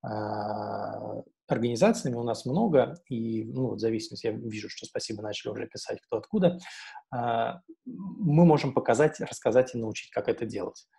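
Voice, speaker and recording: A man's voice: medium pitch, normal speed, vocal fry, dark tone, husky. A man in his thirties giving an informal, explanatory webinar presentation over an earphone headset in a room. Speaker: webinar presenter for a travel audio guide platform. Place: indoor room at home or office, seated. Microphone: wired earphone headset on a video call.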